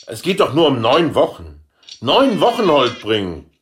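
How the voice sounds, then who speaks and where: A man playing a gruff, pushy, dismissive boss in a satirical radio play. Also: medium pitch, wildly swooping pitch, a little slow, slight background noise.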